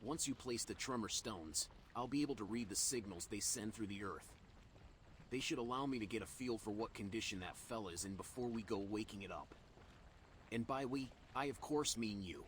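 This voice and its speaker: A man as a low-voiced folksy fantasy healer. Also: normal speed, fairly bright tone, a little hiss or hum, close to an everyday voice. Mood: wry. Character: folksy male elemental healer quest-giver, wry and practical. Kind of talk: voiced fantasy game quest dialogue.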